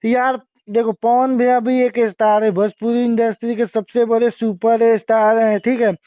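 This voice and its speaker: A man's voice: extremely high pitch, level tone, normal speed, warm tone, clean tone, dry recording. A man with a Bhojpuri-influenced eastern Indian Hindi accent, arguing on the phone in an exasperated way as a manager. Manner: exasperated, argumentative. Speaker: celebrity's manager taking a phone call. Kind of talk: heated phone argument. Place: phone call. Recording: dull lo-fi phone call recording.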